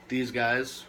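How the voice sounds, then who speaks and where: Bright-voiced man, casual and slangy. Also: medium pitch, slight background noise. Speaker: exotic reptile keeper presenting care tips. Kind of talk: casual informal talk to camera.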